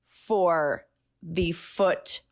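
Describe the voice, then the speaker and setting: A woman from West Virginia calling in to a podcast late at night, talked out, with vocal fry, chatting enthusiastically and speeding up when excited. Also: fairly low pitch, extremely slow. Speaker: caller and guest. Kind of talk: casual call-in podcast chat. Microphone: video call.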